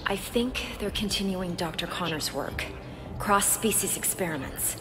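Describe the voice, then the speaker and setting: A woman's voice: lowish voice, normal speed, over music, natural voice. An actress playing an earnest, curious young female scientist in a video game. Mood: earnest, guarded. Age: young adult. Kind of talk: video game dramatic acting.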